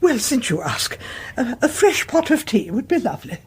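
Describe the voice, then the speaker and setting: An English actress playing a frail, stout older neighbour in a radio drama, genteel, coy and gently needling. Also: female voice, a little high, very animated, normal speed, breathy edge, exaggerated voice. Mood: relieved, indulgent.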